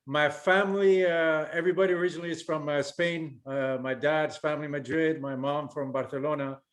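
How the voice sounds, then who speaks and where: A man's voice: fairly high pitch, steady tone, fairly quick. A man born in Chile introducing himself on a video call in a friendly, chatty way. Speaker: corporate vice president. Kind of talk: casual self-introduction.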